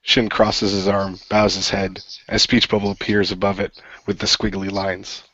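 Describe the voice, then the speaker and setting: Guy narrating actions deadpan, low husky voice, dull dry recording. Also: moderate pace, no echo. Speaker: player narrating his character's actions. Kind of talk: flat third-person action narration.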